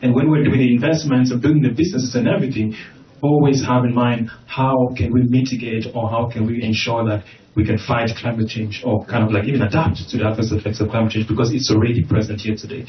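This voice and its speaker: A man from Cameroon makes his point on a conference panel, earnest and restarting his sentences as he goes. The sound is distant and dull, with the boom of a large room and a faint hiss beneath. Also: steady tone, quick pace. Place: conference hall. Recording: echoey, muffled, distant mic with faint noise.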